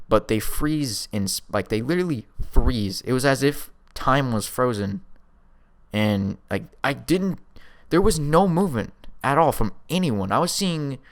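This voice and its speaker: A young man casually telling a first-person story in a rambling, slangy way, often with a chuckle. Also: mid-range voice. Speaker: storyteller vlogger.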